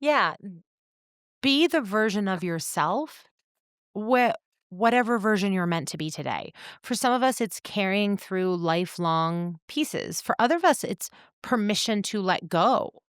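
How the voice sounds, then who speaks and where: A woman podcast host talking reflectively in deliberate, measured short phrases. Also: medium pitch, lively intonation, clear, resonant tone, no room sound, studio quality. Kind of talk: reflective conversational talk.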